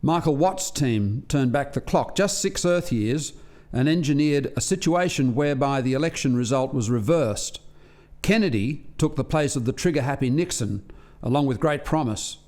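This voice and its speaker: An actor playing an earnest alien lab scientist in a radio play, explaining things in a measured, articulate way. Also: male voice, medium pitch, lively intonation, darkish tone, natural voice. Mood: grave, explanatory. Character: earnest alien male lab scientist defending a failed experiment.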